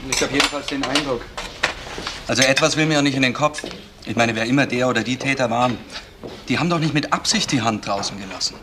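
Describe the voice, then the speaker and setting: An actor playing a blunt, matter-of-fact plainclothes detective, asking terse questions in a German crime radio play. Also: male voice, mid-range voice, quick pace, natural voice. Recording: noisy old radio drama recording.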